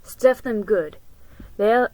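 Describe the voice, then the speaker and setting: A woman voicing a gentle, hardworking mother from an old-time storybook, with homely, folksy phrasing. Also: mid-range voice, slow pace, close to an everyday voice, poor audio. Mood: gentle, instructing.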